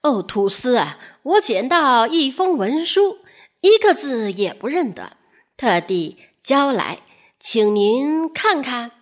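A woman's voice: high-pitched, big pitch swings, slow pace, heavily acted. A woman voicing a scheming male steward in a storybook, speaking with solemn, feigned respectful innocence. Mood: feigned deference. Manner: deferential, solemn. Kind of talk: storybook character voice.

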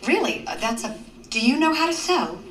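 A woman's voice: sits high, very animated, a little fast, a bit bright. An actress playing a bossy, controlling suburban committee mother in a TV drama, falsely polite and formal, with an American accent. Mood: brisk, doubtful. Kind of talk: TV drama acting. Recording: echoey distant room, music behind.

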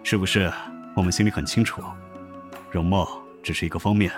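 A man acts a middle-aged royal father in a period audio drama. His voice is low, calm and measured, reassuring in tone, with light music behind it. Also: lightly acted. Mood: gentle. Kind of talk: amateur Chinese costume audio drama.

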